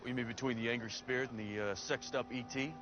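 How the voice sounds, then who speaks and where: A man's voice: mid-range voice, steady tone, fast talker, close to an everyday voice. An actor playing a cocky, wisecracking young male monster hunter in a TV drama, in muffled audio. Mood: wry. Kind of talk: TV drama acting. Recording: muffled TV audio, loud background noise.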